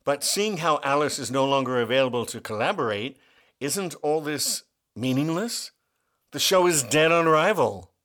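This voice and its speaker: Theatrical, skeptical stage actor. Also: male voice, on the high side, animated, normal speed, fairly bright tone. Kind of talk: radio play acting. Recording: faint music behind the voice.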